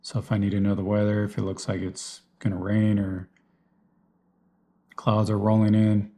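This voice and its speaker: A man's voice: fairly low pitch, completely flat delivery, moderate pace, dark tone. A man giving a casual, unscripted walkthrough of his gear in a plain, explanatory way, like a vlogger. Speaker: vlogger presenting personal radio gear.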